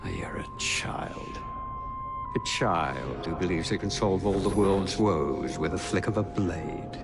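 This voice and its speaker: An actor voicing an older, lofty and fanatical Victorian doctor in a video game, with a theatrical, condescending, lecturing manner. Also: male voice, low voice, slow. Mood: scornful. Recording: game audio under loud music.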